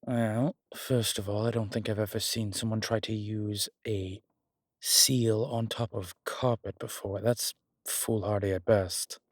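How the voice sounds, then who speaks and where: A man playing a strong, scholarly demon in a horror roleplay audio, explaining things in a deliberate, halting, pedantic way. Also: fairly low pitch, level tone, no echo, studio quality, close to an everyday voice. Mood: pedantic, disapproving. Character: strong, scholarly male demon, exasperated occult lecturer. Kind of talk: immersive one-sided roleplay audio drama.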